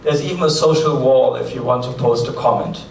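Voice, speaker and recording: A man's voice: mid-range voice, steady tone, fairly quick, vocal fry, darkish tone. A man with a German accent opening a conference as its host, giving practical announcements in a chatty way with light asides. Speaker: forum host. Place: large conference hall. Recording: echoey hall, distant mic, faint noise.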